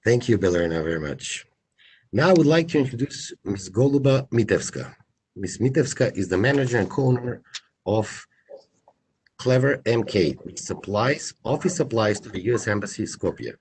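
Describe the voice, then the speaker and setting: A man's voice: lowish voice, expressive, moderate pace, a little mellow, slight background noise. A man moderating a panel politely and formally, speaking through a face mask in an office. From North Macedonia. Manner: polite and formal, reads introductions. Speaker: procurement agent, event moderator.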